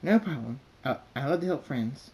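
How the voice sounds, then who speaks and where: A man voicing a bubbly, hyper young female pink pony who chatters in excited squeals. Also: mid-range voice, normal speed, voice pushed up, close to an everyday voice. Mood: cheerful.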